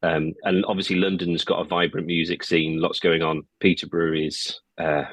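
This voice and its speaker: Deep-voiced English man, rambling chat. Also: flat delivery, normal speed, a bit bright, no room sound. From England. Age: early 40s. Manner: rambling, full of "you know" and restarts. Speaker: guest musician. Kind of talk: casual interview chat.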